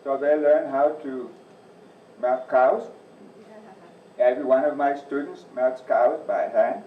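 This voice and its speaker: Man in his 60s, softened Midwestern accent, folksy with dry asides; distant, muffled church-hall sound. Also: medium pitch, steady tone, slow, slight background noise. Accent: softened Midwestern American. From Northeast Iowa, USA. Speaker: agriculture teacher and farm advisor. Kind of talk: presentation to a church audience. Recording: distant, echoey, muffled room recording.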